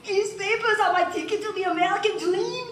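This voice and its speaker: A woman's voice: very high-pitched, fairly quick, a bit bright. A woman playing a scrappy, stubborn immigrant newsboy with streetwise broken grammar in a comic old-time radio play. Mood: stubborn, indignant. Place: convention stage, recorded from the audience. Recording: distant camera, roomy hall, noisy.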